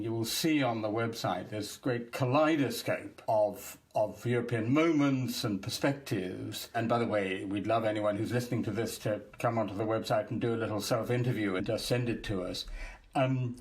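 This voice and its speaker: A man from the UK, a guest on a podcast, explaining a project in a measured, articulate way with some restarts. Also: medium pitch. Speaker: guest, academic project leader.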